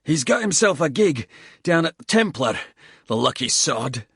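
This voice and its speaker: Male narrator doing a blunt, sweary soldier, winded, husky, louder than the narration. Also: a little high, very animated, measured pace, no echo, clean studio sound, pitched above the performer's own voice, full character voice. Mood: brooding. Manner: blunt, sweary, breathless between words. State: out of breath.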